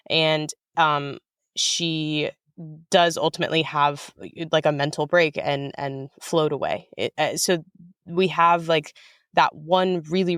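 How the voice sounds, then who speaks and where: A woman's voice: sits low, clean tone, dry recording, studio quality. A woman answering as an interview guest in casual, thoughtful talk, often rephrasing and circling back as she goes. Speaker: interview guest, writer of audio fiction.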